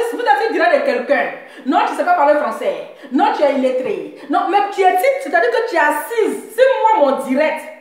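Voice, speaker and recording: Scolding high-voiced woman, very echoey room. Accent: Central African French. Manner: scolding, laughing, repeats phrases. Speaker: live-stream blogger. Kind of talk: mocking live-stream rant.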